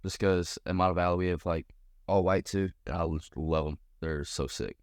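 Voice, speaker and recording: A man's voice: sits low, steady tone, moderate pace, clean tone, no echo, studio quality. An American man of about twenty giving casual, slangy interview answers as a college baseball player. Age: around 20. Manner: informal, slangy.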